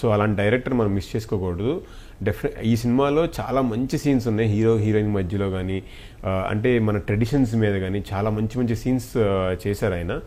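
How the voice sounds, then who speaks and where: A man's voice: fairly low pitch, brisk, warm tone. A man with a Telugu accent, an actor giving an earnest, grateful interview and slipping between languages. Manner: earnest, effusively thankful. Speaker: film actor being interviewed. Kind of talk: interview chat mixing Telugu and English.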